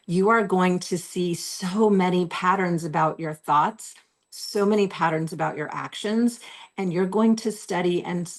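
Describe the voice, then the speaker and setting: A woman in her forties, slightly hoarse, giving an earnest, encouraging self-help talk to camera from a room at home, repeating her key phrases. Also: lowish voice. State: losing her voice. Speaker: host.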